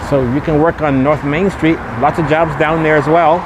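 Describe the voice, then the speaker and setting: Higher-voiced man vlogging over loud street noise. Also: steady tone, normal speed. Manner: repetitive, promotional catchphrases. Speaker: vlogger and host. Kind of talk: walking vlog narration. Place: outdoors on a street, walking. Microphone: handheld camera. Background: traffic and vehicle noise.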